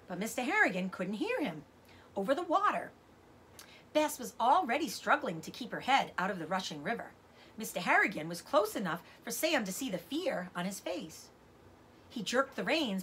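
A middle-aged woman reading a children's novel aloud as a teacher does, with expressive narration. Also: a little high, normal speed, slight background noise. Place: indoors, room with bookshelves.

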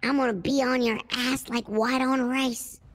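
A woman's voice: sits high, steady tone, unhurried. A woman streamer roleplaying a brash, profane criminal, bickering and shrieking when angry. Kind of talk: in-character roleplay banter.

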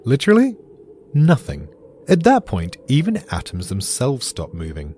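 A man's voice: mid-range voice, huge pitch swings, normal speed, mellow, a little hiss or hum. A British man giving dry, dramatic scripted podcast narration as the explainer.

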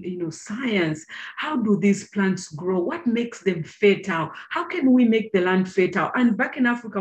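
A middle-aged woman from Zambia with a Zambian accent, giving a chatty talk over slides to students on a video call, with many fillers and questions to her listeners. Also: mid-range voice. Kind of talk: informal presentation over slides.

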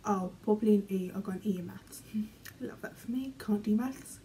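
Chatty young British woman, mellow voice. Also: medium pitch, moderate pace, a little hiss or hum, rough recording. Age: young adult. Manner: chatty, filler-heavy, often trails off quietly. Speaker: vlogger. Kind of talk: casual chat, reading questions aloud. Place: small bedroom, indoors. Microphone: camera in front, medium framing.

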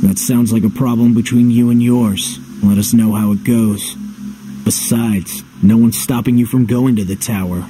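A man playing a cynical, gruff young swordsman in a video game, speaking curtly and dryly. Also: mid-range voice, steady tone, normal speed, lightly acted, rough recording. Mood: dismissive, dry. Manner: curt, dry, suspicious. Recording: music behind.

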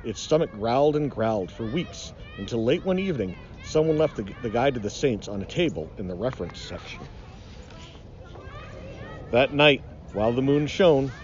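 A man reads a picture book with a lively, swinging pitch. He is theatrical and mock-ominous, telling it like a cautionary tale for children. Light outdoor noise sits behind him. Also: muffled recording. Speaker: nature educator reading aloud. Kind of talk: dramatic children's storybook reading.

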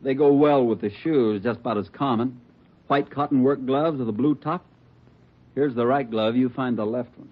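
A man's voice: mid-range voice, moderate pace, close to an everyday voice. An actor playing a dry, wisecracking male police lab technician in a 1940s radio drama, with a sardonic manner. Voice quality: husky, sardonic. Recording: muffled old radio broadcast.

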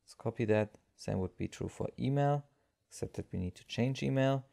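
A man narrating a software tutorial as its presenter, explaining things step by step. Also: medium pitch, moderate pace, gravelly.

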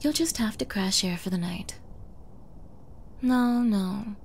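A woman's voice: mid-range voice, expressive, slow, gravelly, a little hiss or hum. A woman playing a sweetly menacing, possessive young girlfriend in an intimate ASMR roleplay, coy and cooing, often dropping into whispers. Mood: mock-concerned. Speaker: roleplay audio performer.

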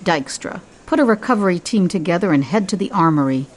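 A woman's voice: lowish voice, expressive, brisk. An actress playing a cold, commanding, ruthless woman in charge in an audio drama, curt and imperious.

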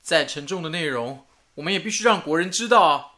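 High, bright, earnest patriot engineer. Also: male voice, expressive, fairly quick. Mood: determined. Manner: sincere, determined. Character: earnest, patriotic male wartime radio engineer. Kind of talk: radio drama acting.

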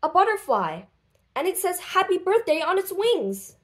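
Woman as excitable, high-pitched naive boy. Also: normal speed. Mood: curious. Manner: eager, wondering.

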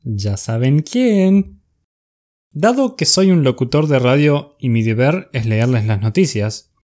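Animated, mellow-voiced smitten radio host. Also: male voice, a little high. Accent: Latin American. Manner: dramatic, confiding. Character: infatuated, theatrical small-town male radio host. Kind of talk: radio drama monologue as a broadcast.

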